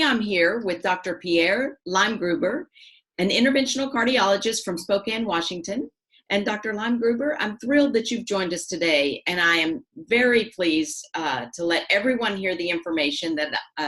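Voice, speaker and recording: A woman's voice: medium pitch, a bit bright. A woman hosting an interview, introducing her guest politely and with a few fillers. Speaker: host.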